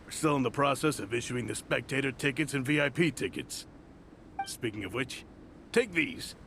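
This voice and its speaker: A man's voice: medium pitch, lively intonation, normal speed, vocal fry, a bit bright, slight background noise. A man voicing a polite, formal male knight officer, acted for a game. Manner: courteous, formal. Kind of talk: game voice acting.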